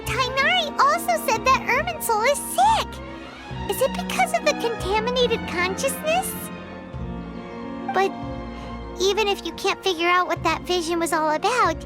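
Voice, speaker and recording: A tiny fairy sidekick from a video game, with an extremely high, childish voice that swings around in pitch. The delivery is chirpy, curious and blunt. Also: female voice, full character voice. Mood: inquisitive. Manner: chirpy, childish, refers to self in third person. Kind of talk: scripted video game voice acting.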